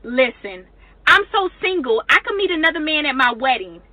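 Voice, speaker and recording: A woman's voice: sits high, sing-song, moderate pace, no room sound, muffled recording. A woman with an American accent delivering a blunt, sassy, emphatic monologue.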